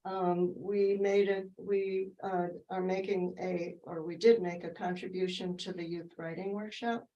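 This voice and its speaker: Low-voiced woman giving a meeting report. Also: level tone, unhurried, mellow, dull audio. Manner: hesitant, fillers and self-corrections. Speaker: library friends group treasurer.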